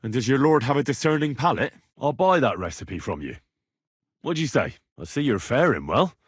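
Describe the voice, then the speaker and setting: Casting: earnest young medieval retainer, slightly rough voice, expressive delivery, conversational game dialogue, close dry sound. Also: male voice, medium pitch, brisk, no echo. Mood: polite, inquisitive. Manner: colloquial, conversational, inquisitive. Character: earnest, plain-spoken young medieval man in a lord's service. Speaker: video game protagonist. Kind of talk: naturalistic game dialogue acting.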